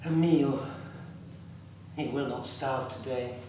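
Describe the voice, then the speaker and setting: A man's voice: medium pitch, steady tone, slow. A man narrating a scripted dramatic story live in a theatre. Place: theatre, live performance recorded from a distance. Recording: very echoey hall, distant, loud background noise.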